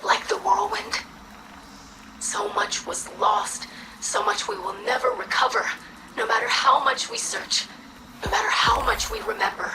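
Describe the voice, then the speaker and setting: A woman voicing an earnest, dutiful young alien scribe in a video game, with formal, measured diction and wounded idealism. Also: very high-pitched, very animated, creaky, background noise, exaggerated voice. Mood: pleading, confrontational. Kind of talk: dramatic video game cutscene acting.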